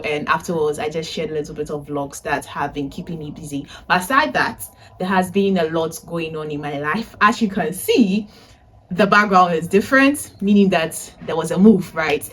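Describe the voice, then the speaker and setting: A woman's voice: sits low, moderate pace, slight background noise. A woman in her twenties talking casually to viewers in a chatty vlog style, often checking in with a tag question. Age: 20s. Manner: chatty, addresses viewers directly, often tags phrases with "right?". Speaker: vlogger and data analytics teacher. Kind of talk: casual vlog to camera, recap of personal updates. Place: indoors, a room in a family house.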